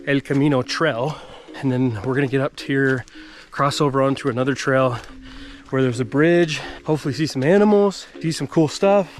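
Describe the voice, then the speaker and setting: A man talking casually in a travel vlog, informal and joking in his manner. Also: mid-range voice. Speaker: travel vlogger. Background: clear music.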